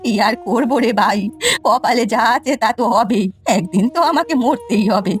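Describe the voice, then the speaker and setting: A woman plays a quick-tempered, suspicious old granny from a village cartoon. The voice is high, full of scolding, curses and lament. Faint music runs behind it. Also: lively intonation, close to an everyday voice. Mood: wailing, bitter. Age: older. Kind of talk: cartoon folk-tale voice acting.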